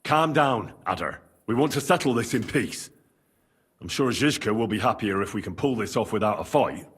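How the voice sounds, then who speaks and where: A man's voice: on the low side, animated, normal speed, a bit bright, slightly rough, exaggerated voice. An actor playing a level-headed male rebel negotiator who speaks in a firm, placating way.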